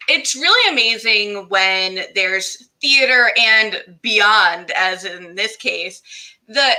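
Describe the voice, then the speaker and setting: A woman's voice: on the high side, bright, forceful tone, low-quality recording. A woman hosting a casual live online interview, speaking in an encouraging and enthusiastic way. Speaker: host of an online show and podcast.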